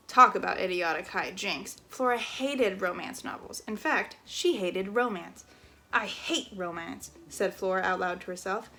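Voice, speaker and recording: A woman reading a children's chapter book aloud in an expressive storytelling style. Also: mid-range voice.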